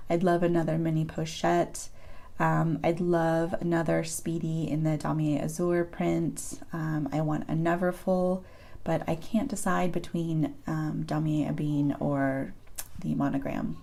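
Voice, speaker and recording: A woman in her thirties with a hoarse voice, chatting casually to her viewers as she answers their questions, with frequent ums. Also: low-pitched, darkish tone. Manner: chatty, many ums, open about personal experiences. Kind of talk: casual chat to camera.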